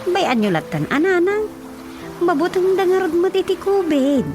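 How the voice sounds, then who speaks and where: High-voiced curious Ilocano daughter. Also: female voice, animated, moderate pace, music in the background. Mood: concerned, explaining. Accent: Filipino, Ilocano. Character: curious, giggly young village daughter questioning her mother. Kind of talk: radio drama acting.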